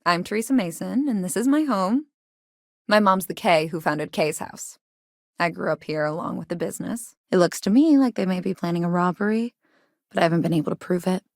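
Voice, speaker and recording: An actress voicing a guarded but caring, plain-spoken woman innkeeper from a rough sci-fi district in a video game. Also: fairly low pitch, a little fast, no room sound, clean studio sound. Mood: calm, earnest. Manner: plain-spoken, earnest, civic-minded. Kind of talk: game dialogue lines, conversational.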